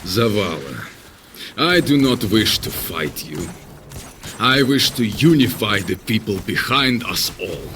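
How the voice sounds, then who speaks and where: Casting: male actor for earnest warrior peacemaker, oratorical, expressive swoops, faint noise behind, audio drama. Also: lowish voice, full character voice. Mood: earnest, pleading. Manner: measured, solemn, oratorical.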